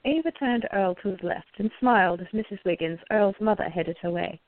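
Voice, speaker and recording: A woman's voice: mid-range voice, brisk, dry recording, narrow, tinny audio. A woman reading scripted narration for a radio play.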